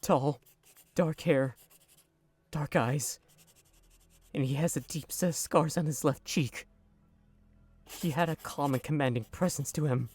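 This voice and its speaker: A man acting a traumatized young fugitive in an audio drama, speaking haltingly and hesitantly over music. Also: on the high side, darkish tone, husky. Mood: weary, subdued. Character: traumatized shape-shifting fugitive lad, Lamar, recalling captors. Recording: music under the voice.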